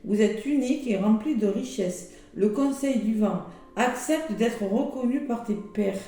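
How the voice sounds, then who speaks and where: Hoarse French woman reading cards, echoey. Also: on the high side, measured pace, warm tone. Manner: chatty, with many fillers and exclamations. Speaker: tarot and oracle card reader. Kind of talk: casual weekly astrology card reading. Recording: very echoey room with faint music.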